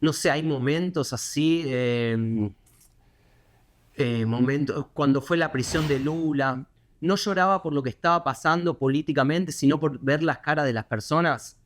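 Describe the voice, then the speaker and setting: Reflective Buenos Aires man. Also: a little high. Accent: Buenos Aires Spanish. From Argentina. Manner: reflective, halting with many fillers and restarts. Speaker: correspondent. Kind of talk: interview answers.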